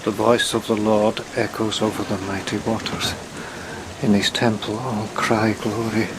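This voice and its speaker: An actor playing a solemn, commanding sea captain with a declamatory delivery in a gothic horror audio drama. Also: male voice, lowish voice, flat delivery, measured pace, natural voice. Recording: loud rain and storm noise behind.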